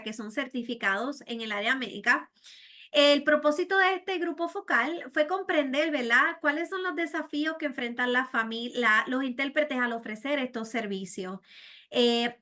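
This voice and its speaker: A woman's voice: high-pitched, bright, forceful tone. A middle-aged woman with a Puerto Rican accent presenting a webinar to healthcare providers, earnest, with frequent fillers and "¿verdad?" tags. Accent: Puerto Rican Spanish. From Puerto Rico. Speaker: program director presenting a webinar.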